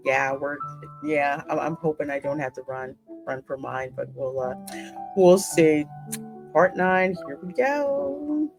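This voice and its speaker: Female game host and narrator: deep register, measured pace, dramatic storytelling delivery with conversational asides. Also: expressive, gravelly, over music, poor audio. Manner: deliberate, dramatic reading. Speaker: gaming channel host and narrator.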